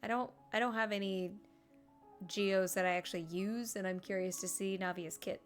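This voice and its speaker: A woman giving casual live gameplay commentary as a streamer, excitable, with teasing asides. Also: mid-range voice, normal speed, faint music behind. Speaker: game streamer.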